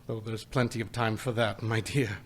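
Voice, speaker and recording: An actor playing a gentle, poor father who speaks tenderly to his family about his lost little son in a live radio play. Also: lowish voice, level tone, normal speed, close to an everyday voice. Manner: tender, soft, choked-up.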